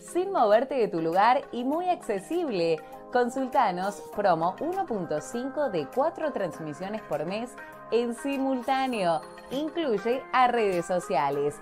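A woman's voice: medium pitch, darkish tone. A woman with an Argentine accent reading a scripted promotional spot as an announcer. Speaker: advertising announcer. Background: music.

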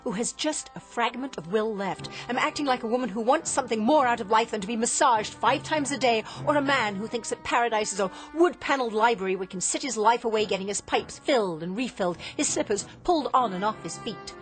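Actress as a defiant, high-voiced grown daughter. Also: female voice, lively intonation, brisk, few pauses, soft background music, lightly acted. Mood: defiant, urgent. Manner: impassioned, theatrical. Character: inventor's defiant, desperate grown daughter, bitter and pleading. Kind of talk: radio drama acting.

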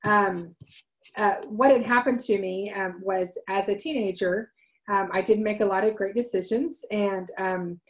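Earnest forties woman host, loud voice, halting storytelling into a home webcam. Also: medium pitch, fairly even intonation, poor audio. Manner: earnest, many ums and restarts, talks with her hands. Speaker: host of a wellness livestream. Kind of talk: casual personal storytelling. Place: home room, indoors. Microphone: webcam at desk.

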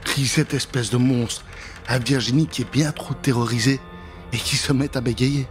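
Theatrical male storyteller over soft music. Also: mid-range voice, fairly even intonation, normal speed. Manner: theatrical, suspenseful. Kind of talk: dramatic storytelling for children. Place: small indoor recording set. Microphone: studio mic with pop filter, close.

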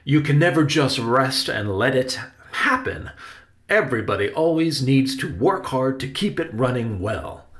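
A man's voice: mid-range voice, animated, measured pace, fairly bright tone, pitched above the performer's own voice. A man voicing a passionate, earnest uncle who acts on the stage, with an emphatic, declamatory delivery in a storybook reading.

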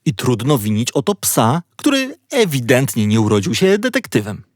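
A man narrating a children's story in an expressive, playful storytelling manner. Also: medium pitch, moderate pace, no echo, clean studio sound.

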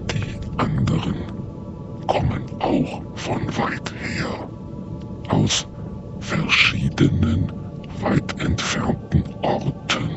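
Radio drama role: dead alien artifact, solemn and cryptic, whispery processed croak, extremely slow, loud eerie music behind. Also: male voice. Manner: solemn, cryptic, halting short phrases. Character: ancient dead alien ring, a speaking tomb in space. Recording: dull sound under loud eerie music.

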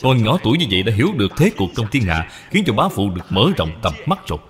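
A man's voice: on the low side, very animated, fast. Casting: male actor as dignified middle-aged cartoon father, courteous, firm, formal; reverberant track with faint music. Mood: genial. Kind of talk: dubbed children's moral cartoon. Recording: long hall reverb, music behind.

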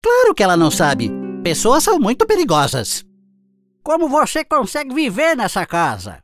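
A woman voicing excitable, animated mice in a children's cartoon fable. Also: a little high, moderate pace, over music. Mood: uneasy, debating. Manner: exclaiming, animated. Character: excitable cartoon mice, country and city friends.

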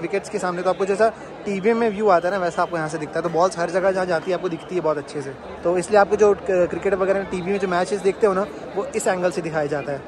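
An excitable man in his twenties chatting casually to his phone as a vlogger, mixing English words into his speech. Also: high voice. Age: 20s. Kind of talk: casual vlog chat, live match reactions. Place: in the stands of a large open-air cricket stadium. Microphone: phone at arm's length. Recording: loud stadium crowd and music behind.

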